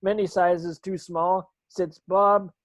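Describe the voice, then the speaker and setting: A woman's voice: lowish voice, level tone, slow pace, dry recording. A woman reading script stage directions as narration, with occasional stumbles over the words.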